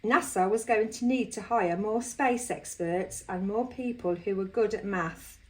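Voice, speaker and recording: A woman's voice: medium pitch, unhurried, darkish tone. A woman reading a nonfiction storybook aloud as a school staff member. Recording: distant microphone.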